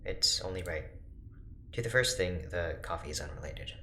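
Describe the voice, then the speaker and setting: A man playing a reserved, formal doctor in an audio drama, speaking in a measured, precise, clinical way. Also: sits low, reverberant, background noise, close to an everyday voice. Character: reserved, formal male doctor recording patient notes. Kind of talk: naturalistic audio drama acting.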